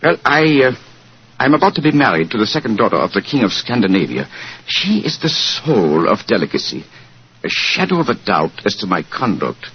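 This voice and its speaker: A haughty, anxious Bohemian king in an old radio drama. The low voice is husky and swings widely, with formal, halting, weighty phrasing, all heard through a muffled vintage broadcast recording. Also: big pitch swings, exaggerated voice. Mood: grave, anxious. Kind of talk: 1940s radio acting.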